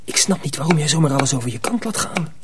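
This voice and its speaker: An actor playing an indignant, earnest young miller's apprentice boy in a radio drama, intense and often hushed and breathy. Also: a little high, lively intonation, quick pace, natural voice. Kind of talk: radio drama dialogue and hushed first-person narration. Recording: noisy old radio play recording.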